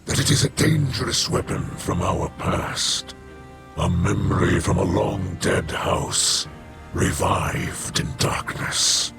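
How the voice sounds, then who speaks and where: Gravelly, solemn alien warlord. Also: male voice, sits low, animated, over music, full character voice. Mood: solemn, foreboding. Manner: solemn, deliberate, formal. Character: grave, commanding alien warrior lord. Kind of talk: video game character acting.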